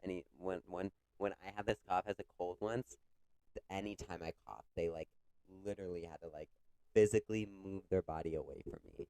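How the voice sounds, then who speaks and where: A young man with a congested, stuffy voice chats loosely on a podcast, piling on filler words as he tells stories. His voice sits low and comes across smooth and clean. The sound is dry and close. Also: brisk, dark tone, dry recording, low-quality recording. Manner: chatty, many "like" fillers, retells stories with quoted voices. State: congested with a cough. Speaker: podcast co-host. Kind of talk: casual chat.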